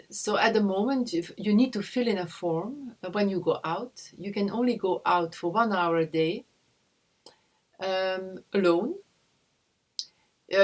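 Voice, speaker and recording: A woman from Belgium, speaking from her home in a video call interview, pausing often to search for words. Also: mid-range voice. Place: home in a rural village.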